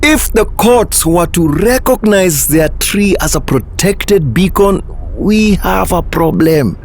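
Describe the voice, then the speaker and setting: A man playing a corrupt, formal attorney general in a motion comic, speaking in a measured, insinuating way. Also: high voice, lively intonation, soft background music, exaggerated voice. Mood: conspiratorial. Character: smooth-talking, corrupt male attorney general, sly and formal. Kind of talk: motion comic character acting. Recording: faint background noise and ambience.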